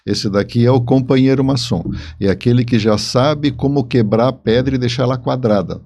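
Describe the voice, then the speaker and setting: A man's voice: medium pitch, mellow. A man speaking as an interview guest, explaining his books in an emphatic, repetitive conversational way. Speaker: guest author.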